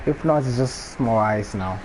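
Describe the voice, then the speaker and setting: A man's voice: medium pitch, unhurried, a little mellow, poor audio. A man from Botswana with a Botswana English accent, chatting casually and laughing often while live-streaming a game. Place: home room during a rainstorm. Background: faint rain and ambient noise.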